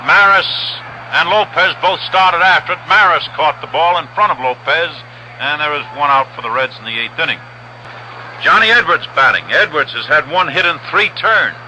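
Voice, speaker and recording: A noisy old radio broadcast carries a fairly high-voiced American man calling baseball. He speaks in measured, short phrases and repeats the count and the facts, over loud ballpark ambience. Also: animated, muffled recording. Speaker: baseball play-by-play announcer. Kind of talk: 1960s radio sports commentary. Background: loud stadium ambience and noise.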